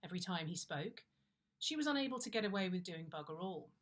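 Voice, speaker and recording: British female storyteller, middle-aged, slightly low voice, dry confiding comic delivery. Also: fast talker. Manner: wry, confiding, expressive timing. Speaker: storyteller, actress reading a story. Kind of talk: first-person comic story reading, conversational. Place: indoors, plain-walled room.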